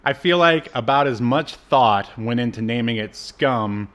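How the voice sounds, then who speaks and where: A man co-hosts a podcast in a casual, conversational way. His pitch sits in the middle, and he recounts things with wry, sarcastic asides. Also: a little slow, fairly bright tone. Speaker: podcast co-host. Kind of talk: casual episode recap.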